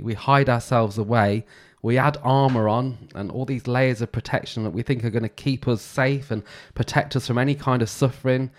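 Earnest, slightly nasal man in his forties. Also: medium pitch. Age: 40s. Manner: earnest, reflective, candid. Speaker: podcast host. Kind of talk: solo podcast monologue.

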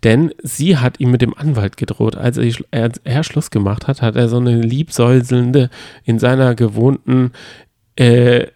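Ein Mann plaudert als Co-Moderator eines Podcasts locker über Reality-TV, sarkastisch und meinungsfreudig. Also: male voice, mid-range voice, fairly even intonation, a little slow, warm tone, no room sound, studio quality. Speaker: podcast co-host. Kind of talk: casual chat about reality TV.

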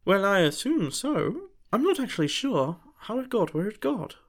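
A woman voicing a prim, fussy, gentle male angel bookseller with polite, old-fashioned manners, in a storybook reading. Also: medium pitch, sing-song, normal speed, voice pushed up. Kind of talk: audiobook character voice.

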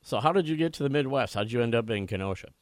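A man's voice: mid-range voice, animated, rapid-fire, no room sound. An American man hosting a live talk radio show, discussing issues and interviewing guests. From United States.

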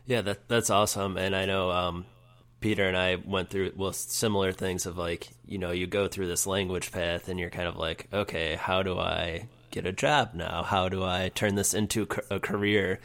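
Very low, flat-voiced co-host man. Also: moderate pace. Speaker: podcast co-host. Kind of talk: conversational interview questions.

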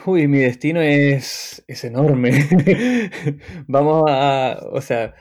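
A man from Chilean Patagonia with a Chilean accent, a tour guide answering interview questions in an unhurried way, with frequent restarts. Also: a little high, lively intonation, darkish tone. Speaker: guest, tour guide association president.

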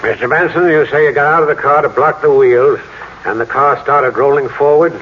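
Male actor as courteous, businesslike American police sergeant, mid-pitched, vintage radio drama, dull sound. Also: brisk, lightly acted. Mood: calm, official. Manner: matter-of-fact, polite. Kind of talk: old-time radio drama acting. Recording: muffled vintage radio with faint noise.

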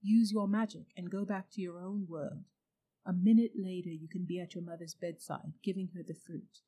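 A woman reading a storybook aloud and voicing a proud, cruel witch who speaks loudly and coaxes the listener. Her voice is dark and husky. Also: lowish voice, steady tone, normal speed, rough recording. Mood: tempting. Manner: loud, commanding and coaxing.

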